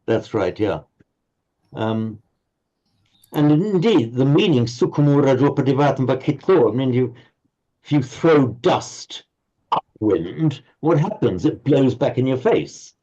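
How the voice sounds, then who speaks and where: Patient male teacher, dark muffled voice. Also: mid-range voice, animated, a little slow. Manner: patient explaining, stumbling repetitions. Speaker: Pali teacher. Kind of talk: online language class. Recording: slightly muffled audio.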